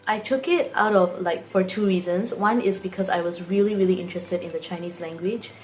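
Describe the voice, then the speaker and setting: Low-pitched young Singaporean woman vlogging. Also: steady tone, brisk, darkish tone, soft background music. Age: young adult. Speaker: student vlogger. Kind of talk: casual personal storytelling to camera.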